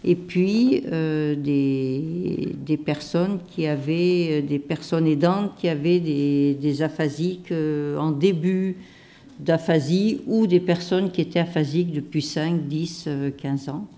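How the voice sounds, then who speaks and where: A woman caregiver giving a measured, hesitant personal testimony at a conference round table. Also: low voice, warm tone. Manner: measured and hesitant, many pauses and restarts. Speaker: caregiver panelist.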